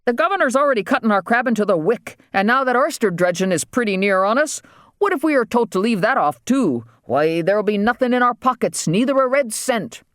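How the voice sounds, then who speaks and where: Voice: mid-range voice, lively intonation, fairly quick, fairly bright tone, no room sound, studio quality, pitched above the performer's own voice, close to an everyday voice. An audiobook narrator voicing an angry, wild island waterman who talks in agitated, defiant rustic dialect.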